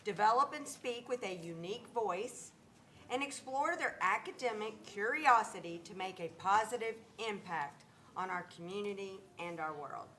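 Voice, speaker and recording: A woman board member reading the district's mission statement aloud at a meeting, measured and formal. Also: mid-range voice, lively intonation, a bit bright, a little hiss or hum.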